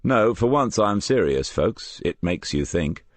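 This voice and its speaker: A man's voice: on the low side, lively intonation, a little slow, no room sound, voice pushed up. A man reading the part of a seasoned, reflective police detective in an audiobook, understated and dryly ironic. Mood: wry, troubled.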